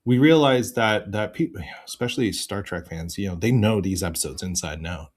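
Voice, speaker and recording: Lowish-voiced man, animated podcast guest. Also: fairly quick. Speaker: podcast guest, improv voice performer. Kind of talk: casual chat.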